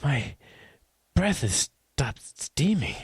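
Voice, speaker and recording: A man playing a frightened, freezing man desperate for his lover in an audio drama, husky and halting. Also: mid-range voice, big pitch swings, dry recording, clean studio sound, exaggerated voice. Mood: fading, numb. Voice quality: husky, breathy. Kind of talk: audio drama monologue and dialogue acting.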